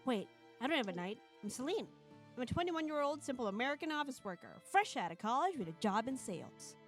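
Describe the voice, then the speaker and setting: Woman streamer, fairly high voice, narrates a visual novel with casual asides, faint music underneath. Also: very animated, fast talker.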